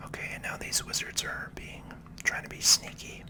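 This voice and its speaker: A person giving gentle, unhurried ASMR game commentary entirely in a breathy whisper. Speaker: gaming video host.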